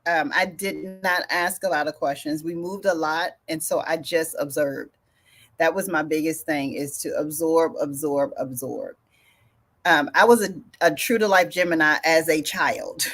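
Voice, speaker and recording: A woman co-hosts a podcast conversation. Her voice sits a little low, and she tells stories in a relaxed, easy way, laughing often between thoughts.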